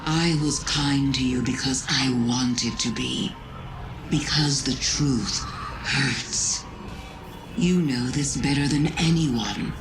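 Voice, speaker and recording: Slow, silky, manipulative witch queen. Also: a bass voice, music in the background, full character voice, poor audio. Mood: probing, taunting. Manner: slow, silky, taunting, deliberate. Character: cunning alien witch queen posing as a caring friend. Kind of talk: dramatic game cutscene acting.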